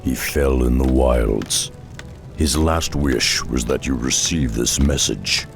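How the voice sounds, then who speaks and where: An actor playing a world-weary, brooding half-orc, half-ogre warrior in a video game, speaking slowly and solemnly in a gravelly voice. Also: male voice, very deep voice, heavily acted. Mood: grave, respectful. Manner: brooding, solemn, slow-spoken. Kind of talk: video game cutscene acting. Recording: music behind the voice.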